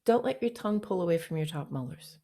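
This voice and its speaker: A woman's voice: animated, fast, dark tone. Woman vocal coach teaching on a call. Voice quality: fairly low voice for a woman. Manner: explains briefly, then sings short demonstration notes. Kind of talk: online voice master class. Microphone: video call.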